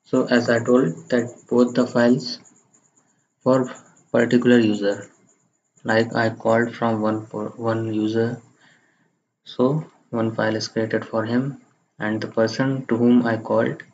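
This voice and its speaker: A man narrating a step-by-step software screencast in a halting, plain-spoken way. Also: medium pitch, gravelly. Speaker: tutorial presenter. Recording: muffled lo-fi microphone, faint background noise.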